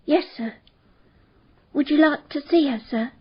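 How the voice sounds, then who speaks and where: High-voiced, deferential maid. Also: female voice, a little slow. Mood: subdued. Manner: respectful, precise, matter-of-fact. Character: deferential female maid answering a detective's questions. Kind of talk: radio drama acting. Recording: dull, muffled radio drama recording.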